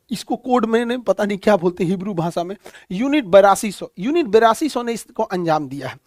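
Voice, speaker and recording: A man's voice: high voice, no echo, studio quality. A man teaching current affairs in a colloquial, dramatic storytelling lecture style with rhetorical questions. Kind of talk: animated explanatory lecture.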